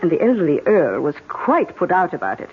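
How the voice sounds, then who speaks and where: A woman's voice: fairly low pitch, huge pitch swings, a little fast, slight background noise. Arch British lady, tinny radio. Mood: wry, gossipy. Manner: arch, breezy, genteel understatement. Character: worldly upper-class British older lady, wry storyteller. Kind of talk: radio drama acting. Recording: tinny narrowband radio drama, faint music.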